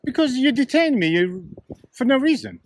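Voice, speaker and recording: A man's voice: extremely high pitch, big pitch swings, moderate pace, rough recording. A man filming outdoors on a city street with a handheld camera, insistent and sarcastic, repeating his questions. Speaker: camera-holding auditor filming police. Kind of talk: confrontational street exchange. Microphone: handheld camera mic.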